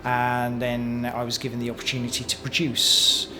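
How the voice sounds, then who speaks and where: Male actor-director interviewee, medium pitch, reminiscing with music clearly underneath. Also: completely flat delivery, moderate pace, low-quality recording. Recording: music playing behind the voice. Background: background music.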